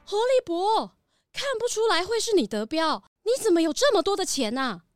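A woman voicing an excitable, curious young townsperson in a children's radio drama, wide-eyed and exclaiming. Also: very high-pitched, moderate pace, dry recording, clean studio sound, faint music behind.

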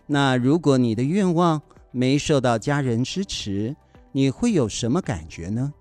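Mellow, animated man addressing children. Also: medium pitch, measured pace, dry recording, faint music behind. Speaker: school principal presenting the closing remarks.

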